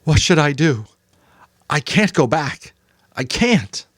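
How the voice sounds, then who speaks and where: A man's voice: fairly high pitch, very animated, slow pace, no room sound, voice pushed up, full character voice. A man voicing a distraught, bitterly defiant adolescent boy in a dramatic audiobook reading. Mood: despairing. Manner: tearful, sarcastic, spitting out questions.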